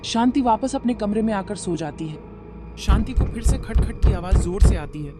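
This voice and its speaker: A narrator telling a cartoon horror story in clear, even storybook narration. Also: medium pitch, moderate pace, background noise. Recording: loud background music.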